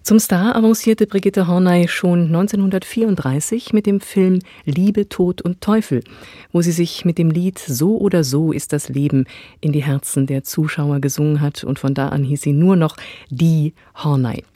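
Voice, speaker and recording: A woman presenting a radio programme with a scripted introduction in a measured, formal way. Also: deep voice, dark tone, dry recording, studio quality.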